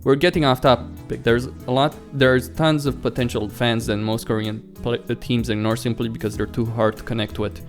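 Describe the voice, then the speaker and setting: A man's voice: mid-range voice, level tone, normal speed, hardly any pauses. A man hosting a let's play, reading visual novel narration aloud as a story, sometimes stumbling over words. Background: faint music.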